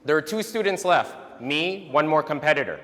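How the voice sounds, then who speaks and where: A man whose first language is Vietnamese, giving an animated motivational talk to parents and often ending points with a quick "right?". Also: fairly high pitch. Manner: animated, tags statements with "right?". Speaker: speaker giving a talk on resilience. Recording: long hall reverb.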